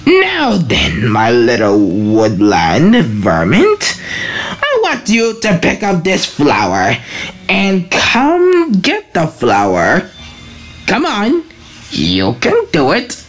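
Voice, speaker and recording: A woman voicing a pompous, gloating male mad scientist with a creaky voice in an amateur comic dub. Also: deep voice, wildly swooping pitch, drawn-out words, faint music behind, exaggerated voice. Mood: taunting. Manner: pompous, commanding, taunting.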